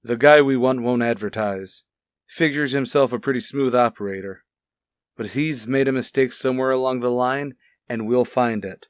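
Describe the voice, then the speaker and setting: A man's voice: medium pitch, normal speed, smooth, no echo, clean studio sound, close to an everyday voice. A man playing a demanding police captain, speaking in firm, clipped, commanding lines in a hard-boiled radio drama. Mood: stern, urging. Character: gruffly demanding male police captain pushing his detectives.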